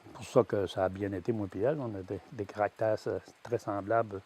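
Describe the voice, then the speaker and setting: A man in his sixties with a Québécois French accent, a farmer giving a plain personal testimony, pausing often with fillers and restarts. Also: lowish voice, fairly even intonation, a little mellow, slightly rough. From Quebec. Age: 60s. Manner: hesitant, many "euh" fillers and restarts. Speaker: grain-fed veal farmer.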